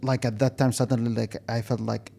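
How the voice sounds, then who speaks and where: Creaky-voiced man, halting. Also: medium pitch, level tone, warm tone, slight background noise. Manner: halting, searching for words. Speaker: interview guest. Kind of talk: personal story told in conversation.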